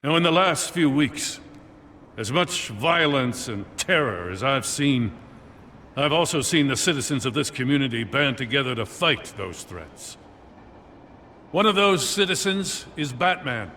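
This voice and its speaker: An actor playing an earnest, dutiful middle-aged police commissioner in a video game, plain-spoken and sincere. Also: male voice, mid-range voice, animated, a little slow, pushed and bright. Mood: rousing, public address. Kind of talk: video game dramatic acting. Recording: faint background ambience noise.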